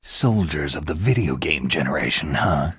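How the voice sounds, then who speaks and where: A gruff game soldier, super raspy low voice, terse and skeptical, dry close sound. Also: male voice, animated, moderate pace, fairly bright tone, no echo, full character voice. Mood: skeptical, questioning. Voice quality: gravelly, husky rasp. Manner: terse, questioning. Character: gruff, skeptical male veteran soldier and covert operative. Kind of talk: video game cutscene acting.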